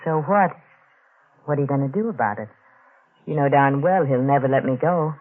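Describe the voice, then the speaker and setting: A woman's voice: a bass voice, moderate pace. A woman playing a hard-boiled, scheming married woman in an old-time radio thriller, with a tough, taunting, slangy manner. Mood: scornful, guarded. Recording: tinny, faintly noisy vintage radio.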